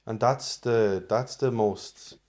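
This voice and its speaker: A man's voice: fairly low pitch, slow pace, darkish tone. A young man with an Irish accent, a guest on a podcast, talking earnestly and repeating himself with lots of "like" fillers. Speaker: podcast guest, fitness coach. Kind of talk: casual conversational interview.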